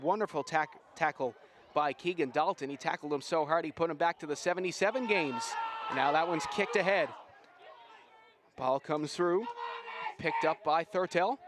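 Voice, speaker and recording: A man doing live, excitable play-by-play commentary on a rugby sevens match. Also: on the high side, moderate pace, crowd noise, noisy background. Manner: excitable, builds to shouts at big plays.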